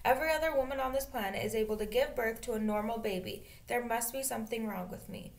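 A woman's voice: on the high side, fast, close to an everyday voice. A woman reading aloud as an anxious, guilt-ridden mother in a storybook, tight and pleading. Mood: tearful, scared.

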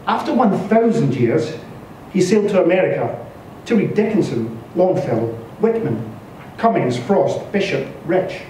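A man reads literary fiction aloud like a stage storyteller, with an expressive, dramatic delivery. His voice sits fairly high and moves through lively swings of pitch, with a soft, mellow tone. It is a live reading in a bare indoor space. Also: a little slow. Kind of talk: scripted literary narration read aloud. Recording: echoey room from a distance, faint noise.

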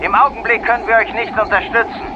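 A man's voice: high-pitched, fairly even intonation, quick pace, a crowd in the background. A man playing a stern military commander in an audio drama, reporting formally through a radio filter. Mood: urgent, formal. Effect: radio transmission filter. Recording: dull, noisy battlefield transmission.

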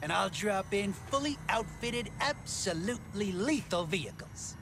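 A man's voice: high-pitched, lively intonation, a little slow, noisy background. A man voicing a small, crude gerbil inventor in a video game, brash, cocky and insulting. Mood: smug. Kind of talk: video game cutscene acting.

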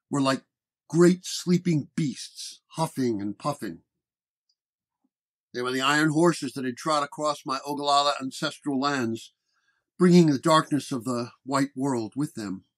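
Guy reading a spooky detective story, measured, a bit higher voice. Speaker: narrator. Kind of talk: scripted first-person detective horror narration.

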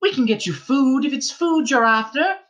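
A motherly old innkeeper's wife fusses over a guest in a high, lilting, folksy voice, as a male reader puts her on. Her talk is chatty and coaxing, with a bright, sharp edge to the tone. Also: lively intonation, normal speed.

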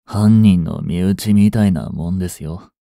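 A male actor plays a young policeman in mourning, tender and bitter. His low voice is dark and slightly husky, murmuring intimately as in a radio play. It sits close and dry over music. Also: unhurried, no room sound. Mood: bitter resignation. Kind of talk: Japanese audio drama monologue.

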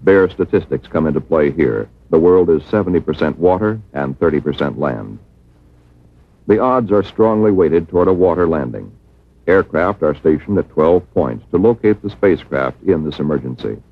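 Casting: American male documentary narrator, deep and slightly rough, formal measured read, thin muffled vintage film sound. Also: lively intonation, no echo. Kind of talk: scripted educational film narration.

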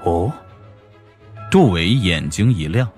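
A man narrating a fantasy novel as a scripted audiobook, in a dramatic, deliberate storytelling manner. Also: low-pitched, faint music behind.

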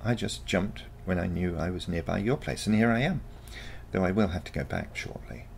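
A man voicing a calm, confident teenage granddaughter from the future, matter-of-fact and explanatory, in a storybook. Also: low-pitched, normal speed, gravelly, a little hiss or hum, voice pushed up. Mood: unconcerned. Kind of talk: storybook character dialogue.

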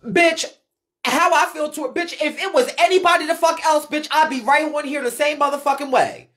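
A woman's voice: high voice, a little fast, a bit bright. An American woman on an unscripted live stream, ranting bluntly and emphatically with heavy swearing and repeated phrases. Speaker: live streamer and ballroom scene commentator. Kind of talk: unscripted live rant answering viewer comments.

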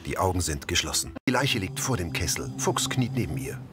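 A man's voice: low voice, lively intonation, fairly quick, loud music behind the voice. A man reading scripted audio description narration for a television show.

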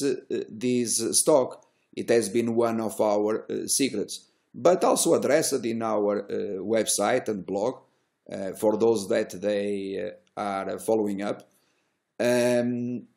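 A middle-aged man who is not a native English speaker, giving unscripted market commentary in halting fragments with drawn-out hesitations and a self-assured manner. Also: lowish voice, a little mellow. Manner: self-assured, boastful about results. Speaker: stock trading educator and host. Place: small indoor room.

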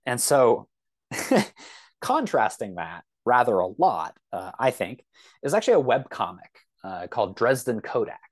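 A man in a casual discussion as a podcast guest, speaking in a halting, enthusiastic way as he thinks aloud. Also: medium pitch.

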